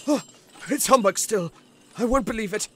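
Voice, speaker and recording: An actor playing a frightened, stubborn old miser in an audio drama, querulous, stammering and gasping. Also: male voice, high-pitched, moderate pace, a bit bright, a little hiss or hum. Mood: defiant, startled. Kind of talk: theatrical audio drama acting.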